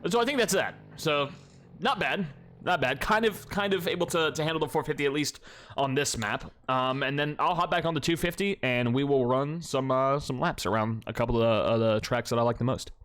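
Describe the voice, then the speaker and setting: A man's voice: fairly high pitch, a little fast. A young man casually commenting on his own game replays, congested and sniffling, rambling with lots of fillers and restarts. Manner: rambling, many fillers and restarts, relaxed swearing. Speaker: gaming youtuber.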